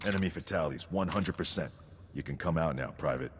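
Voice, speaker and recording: An actor playing a stern, unflinching infantry sergeant with a clipped, deadpan military delivery in a video game. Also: male voice, extremely low pitch, quick pace, a little hiss or hum. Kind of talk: scripted game dialogue. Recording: long hall reverb under music.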